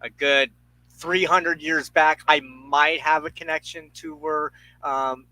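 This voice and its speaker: A man's voice: a little high, steady tone, takes it slowly, pushed and bright, rough recording. A man hosting a podcast in a casual, conversational interviewing style. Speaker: podcast host.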